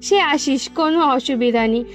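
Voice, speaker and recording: A woman's voice: high-pitched, fairly quick, lightly acted. A woman playing a kindly, generous village auntie in a cartoon, affectionate and reassuring. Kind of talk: cartoon dialogue. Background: background music.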